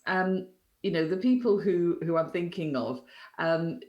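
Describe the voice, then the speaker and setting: A woman from Wales with a faint Welsh accent, co-hosting a podcast and telling lively anecdotes in casual chat. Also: fairly low pitch, unhurried, lo-fi sound. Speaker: podcast co-host.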